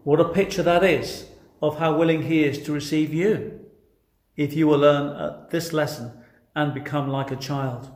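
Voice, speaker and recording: An English man delivers a sermon in an earnest, direct way. His voice sits a little higher than most men's. He addresses listeners with rhetorical questions, and the room around him adds a strong echo. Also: fairly even intonation, moderate pace, darkish tone. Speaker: preacher. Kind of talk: sermon, expository preaching. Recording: echoey, reverberant room sound.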